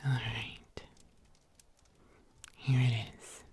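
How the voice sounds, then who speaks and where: An ASMR performer playing a kindly huntsman healer in a whispered fantasy roleplay, soothing and breathy, with old-fashioned phrasing. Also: medium pitch, little pitch movement, very slow, darkish tone, slight background noise. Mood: gentle, caring. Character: kindly fairy-tale huntsman healer, caring and gently wry.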